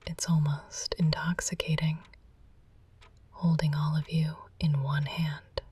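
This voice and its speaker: A woman playing a possessive, quietly controlling therapist in an intimate ASMR roleplay, speaking softly and coaxingly. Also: low voice. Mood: tender, possessive. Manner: soft, unhurried, coaxing.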